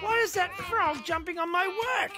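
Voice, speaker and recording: A man's voice: very high-pitched, normal speed. A man with an Australian accent voicing a playful, put-upon cartoon dog dad in an exaggerated, mock-serious, exasperated way, for a children's show. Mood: indignant. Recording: loud music behind the voice.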